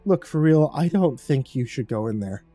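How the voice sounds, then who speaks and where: A man's voice: lively intonation, normal speed, warm tone, no room sound, faint music behind, lightly acted. A man voicing a jittery young new hire who stammers and swears, in a storybook narration. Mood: nervous. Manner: stammering, swearing, high-pitched panic.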